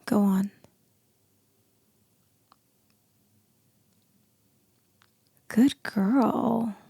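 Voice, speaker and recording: Woman acting a gravelly, husky, dominant female boss. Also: mellow, no echo, studio quality, full character voice. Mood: seductive, coaxing. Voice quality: deep, husky, breathy voice. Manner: slow, deliberate, often dropping into whispers. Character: dominant, seductive female boss, intimidating and teasing. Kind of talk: ASMR roleplay, slow intimate acting.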